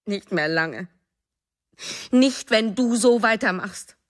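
An actress in a radio drama playing a bitter, fearful, demanding wife with an accusing, emphatic manner. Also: female voice, medium pitch, expressive, takes it slowly. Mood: ominous. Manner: emphatic, accusing, short clipped phrases. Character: embittered, anxious wife, jealous, demanding and fearful.

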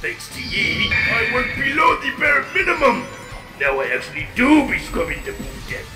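A man's voice: very high-pitched, wildly swooping pitch, normal speed, heavily acted. A man voicing a bitter, theatrical pirate villain in a comedic game fan dub, talking in hammy pirate slang. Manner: hammy pirate talk, swooping. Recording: roomy, loud game music behind.

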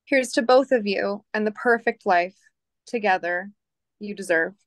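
A woman's voice: mid-range voice, unhurried, close to an everyday voice. A young woman playing a cautious grown daughter who hides heartbreak behind good wishes, in a screenplay table read. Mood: bittersweet.

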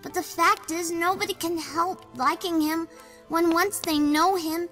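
A woman's voice: extremely high pitch, steady tone, unhurried, fairly bright tone. A woman playing an earnest, outspoken young village boy in an audiobook drama, with chatty, old-fashioned phrasing. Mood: exasperated, fond. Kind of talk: amateur audiobook drama acting. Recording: long hall reverb, faint music.

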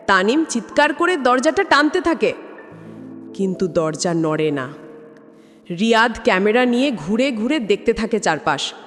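A woman reading a scripted ghost story in a dramatic, suspenseful way. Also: on the high side. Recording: long reverb, clear background music. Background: music.

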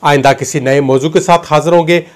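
A man's voice: a little high, fairly even intonation, a little fast. A man in his thirties with a Pakistani Urdu accent, presenting scripted political news in a formal, declamatory way from a small studio, holding a handheld mic. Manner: formal, declamatory, with gesturing hands. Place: studio in front of a dark backdrop. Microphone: handheld mic held at chest.

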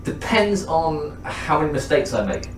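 A man's voice: a little high, very animated, normal speed, roomy sound, recorded from a distance. A man in his twenties from Somerset with a West Country English accent, bantering dryly and teasing strangers on a video chat. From Somerset, England. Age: 20s. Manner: teasing, dry, laughs often. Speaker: guitarist chatting with strangers.

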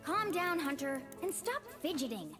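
An actress voicing a spirited young female fawn in a video game, brisk and take-charge. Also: sits high, very animated. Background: game music.

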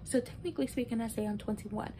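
A woman's voice: steady tone, quick pace, dark tone. A woman in her early twenties giving casual, opinionated and emphatic recap commentary, talking conversationally like a video blogger. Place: small bedroom, indoors. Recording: noisy room with low rumble.

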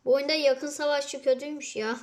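A child with a high-pitched voice plays a video game alongside someone. The talk is casual gameplay chat between players. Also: fairly even intonation, brisk, slight background noise. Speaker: gamer, co-player.

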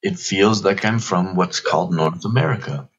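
A man in his forties talks as a podcast guest in a deep voice. He is earnest and halting, telling his story reflectively. The audio is a little dull and lacks top end. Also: husky. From Toronto, Canada. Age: 40s. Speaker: podcast guest, activist spokesperson.

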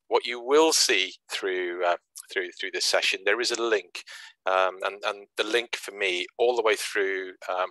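A man in his sixties from Wales speaks over a video call, his voice low and forceful. He talks haltingly, with plenty of fillers and repeated words. The sound is dry and close. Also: dry recording, rough recording. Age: 60s. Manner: hesitant, many restarts and fillers. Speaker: guest speaker. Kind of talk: presentation at an online branch meeting.

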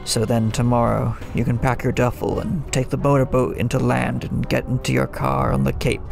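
A man's voice: medium pitch, little pitch movement, moderate pace, creaky. A man playing a brooding, poetic old lighthouse keeper in an audio drama, musing like a storyteller. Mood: grave, secretive. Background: music.

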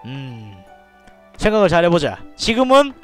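Excitable Daegu-accented man streaming a game. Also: fairly high pitch, moderate pace, soft background music. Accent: Gyeongsang (Daegu) dialect. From Daegu, South Korea. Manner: excitable, shouts orders, mutters to himself. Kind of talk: live game commentary.

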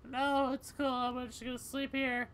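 A woman's voice: sits high, little pitch movement, normal speed, a little hiss or hum, lightly acted, rough recording. A woman voicing a woozy, wry young female cat in a video game, with hesitant slacker phrasing. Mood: tired, reluctant.

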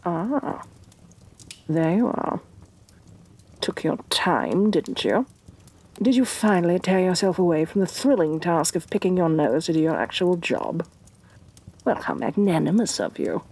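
A woman playing a haughty, domineering evil sorceress queen, soft-spoken and imperious in a fantasy ASMR roleplay. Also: sits low, husky, exaggerated voice. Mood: scornful, impatient. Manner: imperious, condescending, theatrically disdainful.

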